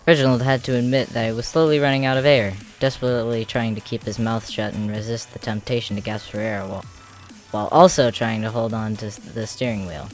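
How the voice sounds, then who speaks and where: Low-voiced man narrating dramatically over music. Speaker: narrator and voice actor. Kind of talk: dramatic scripted comic dub narration.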